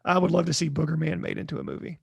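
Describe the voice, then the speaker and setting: A man co-hosting a podcast, chatting casually about films and games in a blunt, joking way with halting restarts. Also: a little high, gravelly, slightly rough, no room sound, lo-fi sound. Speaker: podcast co-host.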